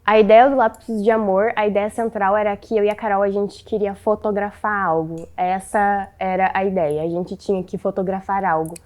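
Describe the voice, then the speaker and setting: A twenty-year-old woman, a photographer, speaks with a very even pitch. She explains her ideas steadily, with little rise or fall. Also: normal speed, few pauses. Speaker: photographer, co-creator of the book. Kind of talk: interview answers.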